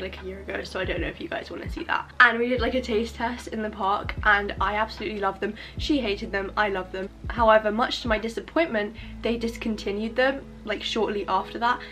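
A woman's voice: mid-range voice, a bit bright, poor audio. A young woman chatting to camera in a casual vlog, rambling and self-mocking, recorded in her bedroom on a handheld camera. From England. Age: young adult. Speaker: vlogger. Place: bedroom at home. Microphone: camera at arm's length.

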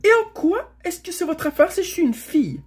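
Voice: high-pitched, very animated, moderate pace, warm tone, pitched above the performer's own voice. A storybook reader voicing a haughty young noble girl who speaks in a snappish, imperious way. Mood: aggressive, scornful.

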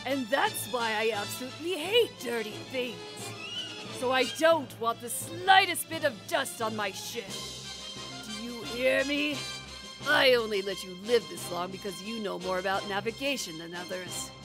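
Woman acting an imperious high-voiced lady pirate captain. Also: animated, moderate pace, over music, natural voice. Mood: haughty, menacing. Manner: haughty, commanding, threatening. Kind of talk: anime-style manga dub acting.

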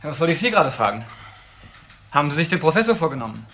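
A man's voice: fairly high pitch, sing-song, racing, fairly bright tone, a little hiss or hum. A man playing an obsessive, insistent accuser in a German crime radio drama, brooding over every point. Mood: urgent, brooding.

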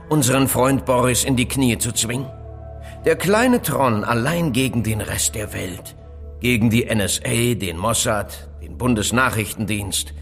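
An actor playing an evasive, cautious phone inventor in an audio drama, speaking politely and deliberately, with rhetorical questions. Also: male voice, mid-range voice. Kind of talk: German audio drama dialogue acting.

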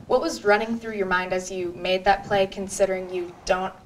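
A woman's voice: mid-range voice, steady tone, moderate pace, a little hiss or hum, low-quality recording. A young woman hosting a student sports show in a small TV studio, reading a scripted intro and questions in an upbeat manner on a lapel mic. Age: young adult. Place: small TV studio set at a desk.

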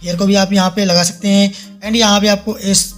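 A man's voice: high-pitched, little pitch movement, normal speed. A man hosts a step-by-step app tutorial. He guides the listener with repeated instructional phrases. Faint music runs behind his narration. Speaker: tutorial host. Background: soft background music.

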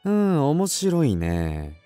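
Affable oddball young detective, radio drama, takes his time, swoopy pitch. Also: wildly swooping pitch, extremely slow, music in the background, exaggerated voice. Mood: intrigued. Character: quirky, affable young male amateur sleuth, intellectual.

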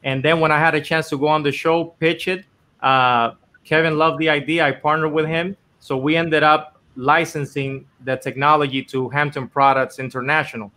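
A man's voice: on the high side, steady tone, normal speed, pushed and bright. A man in his thirties from the Dominican Republic, telling his story earnestly with lots of "you know" as a guest on a video call from his home office. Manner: earnest storytelling with many fillers. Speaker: guest inventor. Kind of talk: casual video-call interview. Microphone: video call webcam.

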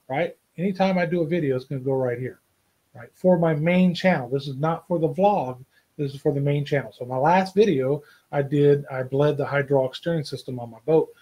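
A man's voice: fairly high pitch, dark tone, breathy edge. Chatty man in his fifties. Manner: chatty, keeps asking "right?" and "okay?". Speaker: outdoors vlogger. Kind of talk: casual to-camera vlog. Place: small home office. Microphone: desk camera, head and shoulders.

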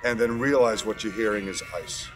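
American man, ice carver, low-pitched, easygoing interview talk, music behind. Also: moderate pace, low-quality recording. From Connecticut, United States. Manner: relaxed, thoughtful, with many fillers. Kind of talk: documentary interview. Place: outdoors in the Arctic, on a ship deck.

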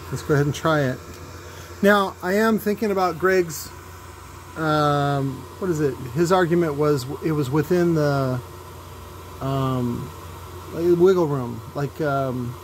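A man with a fairly high voice talks through hands-on technical tests in a casual, unscripted way. He pauses and restarts as he thinks aloud, and is free with his opinions. The recording is from a handheld device in a workshop, with a light layer of background noise. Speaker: host demonstrating speaker tests. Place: indoor workshop at a cluttered bench. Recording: noisy workshop with background hum.

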